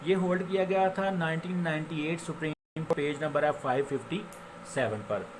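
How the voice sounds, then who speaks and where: A man with a Pakistani accent and a slightly high voice teaches in an informal, explanatory lecture style. He mixes in English legal terms and slows down haltingly when reading them out. There is some background noise on the recording. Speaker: law lecturer and advocate.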